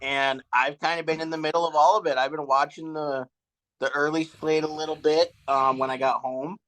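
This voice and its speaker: A young man co-hosting a casual sports podcast, relaxed and a little hesitant, trailing off with ums. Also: a little high, moderate pace, poor audio. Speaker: podcast co-host. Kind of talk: casual chat.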